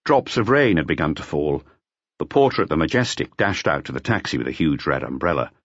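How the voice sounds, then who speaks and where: A man with a low voice reads a mystery audiobook. His delivery is measured but expressive, moving widely in pitch, and the recording is dry and slightly dull. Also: no echo. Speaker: audiobook narrator. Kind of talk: scripted mystery novel narration.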